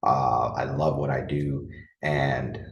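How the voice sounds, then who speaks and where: A deep-voiced man in his thirties, originally from the Dominican Republic, talks through a casual solo podcast episode. He rambles earnestly, restarting sentences and leaning on fillers. The sound is roomy and echoey. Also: little pitch movement, slow, creaky, distant mic, highs cut off. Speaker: podcast host and coach. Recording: echoey room.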